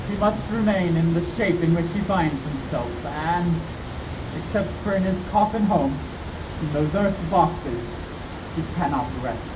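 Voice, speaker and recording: Man playing a high-pitched, grave vampire-hunting professor. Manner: declamatory, deliberate speech-making. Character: grave elderly professor leading vampire hunters, solemn and urgent. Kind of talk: 1930s-style radio drama acting. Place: community theatre live performance. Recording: noisy, distant stage mic.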